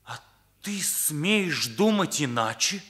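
An earnest teenage son, bright forceful voice. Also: male voice, fairly high pitch, sing-song, slow pace, full character voice. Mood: bitter, accusing. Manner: accusing, emphatic, morally rigid. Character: earnest, indignant teenage son confronting his parents. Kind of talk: Soviet radio play acting.

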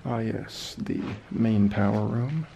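A man narrating his own exploration of abandoned buildings in a dry, wry, deadpan way, filmed on a handheld camera. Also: lowish voice, slow pace, vocal fry, slight background noise. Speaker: urban explorer vlogger. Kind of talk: casual solo exploring commentary. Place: abandoned buildings and overgrown fields, indoors and outdoors.

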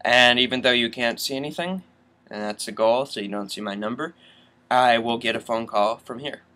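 A man's voice: mid-range voice, moderate pace, bright, forceful tone. A young adult man talking casually through a screen-recorded tech tutorial as a vlogger.

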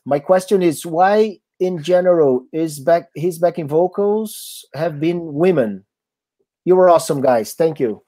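Mellow-voiced man reading viewer questions. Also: a little high. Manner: reads viewer messages, quick agreeing interjections. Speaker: band guitarist and co-host. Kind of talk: live Q&A chat. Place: home room, indoors.

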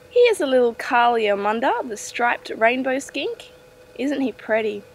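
A woman's voice: a little high, a little hiss or hum. A young Australian woman giving casual, enthusiastic wildlife commentary in a gushing, chatty way with informal slang. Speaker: wildlife vlogger.

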